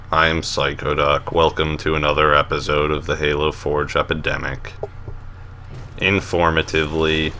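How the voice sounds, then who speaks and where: A man with a deep voice hosting a casual voice-over commentary on gameplay, relaxed and rambling with lots of fillers and restarts, and a dry, self-mocking humor. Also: slight background noise. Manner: dry, joking self-mockery. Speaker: host of a map showcase series.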